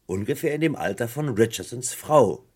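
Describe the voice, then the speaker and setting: An actor playing a wry, probing British police detective in a German radio crime play, talking casually with a gravelly, husky voice. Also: male voice, mid-range voice, animated, moderate pace, a little mellow. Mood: reflective, reporting. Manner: casual, probing, conversational questioning. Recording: vintage radio play with faint music.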